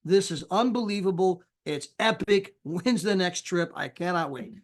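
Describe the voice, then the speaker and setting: High-voiced man giving a lively sales pitch. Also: normal speed. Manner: animated, acts out quoted people with big pitch jumps; casual, folksy phrasing. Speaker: sales presenter. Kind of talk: energetic sales pitch on a live call.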